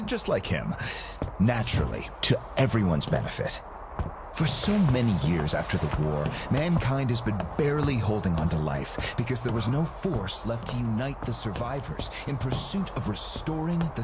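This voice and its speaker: A man playing a smooth, self-satisfied arms-dealer boss with grand ambitions, speaking in a theatrical, businesslike way in a game cutscene. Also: mid-range voice, very noisy. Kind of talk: video game cutscene acting.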